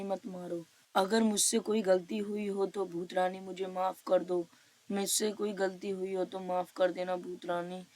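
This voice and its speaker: A woman's voice: fairly low pitch, darkish tone, natural voice. A woman voicing a frightened village man begging for mercy in a cartoon story, pleading over and over.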